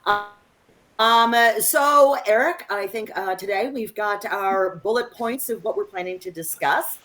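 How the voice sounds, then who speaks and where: A woman co-hosting an unscripted political discussion, speaking in an emphatic, opinionated way and halting as she gathers her thoughts. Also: medium pitch, a bit bright. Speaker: co-host of a political discussion show.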